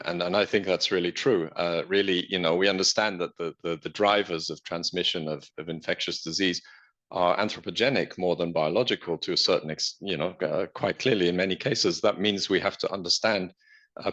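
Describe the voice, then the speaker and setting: A man speaking in a meeting discussion, measured and reflective, with many pauses and fillers. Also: low-pitched, bright, forceful tone, dull audio. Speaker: panelist.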